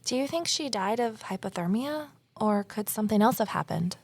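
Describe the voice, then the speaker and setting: A worried, determined woman investigating a mystery in an audio drama. Her voice sits at a middle pitch with a noticeable gravelly creak. She sounds earnest and inward-looking, in a naturalistic style. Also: animated, moderate pace. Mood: probing, careful. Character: Evelyn, anxious, determined amateur sleuth woman. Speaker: lead character and narrator.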